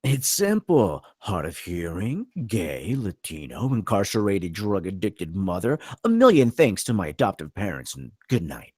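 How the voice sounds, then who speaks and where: A man's voice: sits low, very animated, a little slow, few pauses, a little mellow, no room sound, clean studio sound, exaggerated voice. A man at a script table read playing a brash, sarcastic young delinquent brother, mouthy and emphatic. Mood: sardonic.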